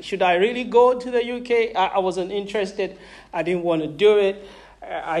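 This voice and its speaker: Pastor, man in his thirties, from Zambia; emphatic sermon delivery, high for a man. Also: a little mellow. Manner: emphatic, calls out to the congregation; repeats words, laughs between points.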